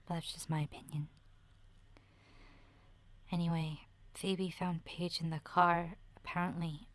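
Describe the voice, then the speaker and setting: A woman playing a gentle, sensitive child in a soft-spoken, often whispered ASMR roleplay about surviving, breathy, hesitant and confiding. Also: on the low side, close to an everyday voice. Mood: concerned, confiding, then hushed, grave. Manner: hesitant, earnest, confiding, with sighs. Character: gentle, sensitive schoolchild surviving a zombie apocalypse.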